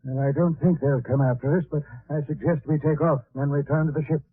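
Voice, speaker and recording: A man's voice: a little high, steady tone, fairly quick, loud music behind the voice, lightly acted. A man acting a thoughtful, unruffled professor on an expedition in an old-time radio drama, speaking in a composed, scholarly way. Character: thoughtful, unruffled learned male professor explorer. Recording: tinny, noisy vintage radio broadcast.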